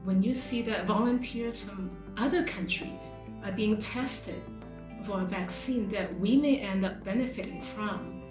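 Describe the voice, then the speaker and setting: A woman's voice: mid-range voice, measured pace. Earnest woman in her fifties. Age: 50s. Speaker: dentist, trial volunteer. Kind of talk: recorded personal statement. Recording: roomy with music bed.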